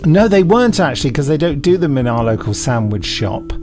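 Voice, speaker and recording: A man's voice: on the high side, sing-song, brisk, a little mellow, faint music behind. A middle-aged man co-hosting a podcast with casual, bantering talk. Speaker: podcast co-host.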